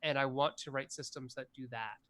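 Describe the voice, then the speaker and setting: Man in his forties, bright tense voice, enthusiastic, rambling, filler-heavy, game designer guest. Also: mid-range voice, fairly even intonation, brisk. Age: 40s. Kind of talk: casual interview chat.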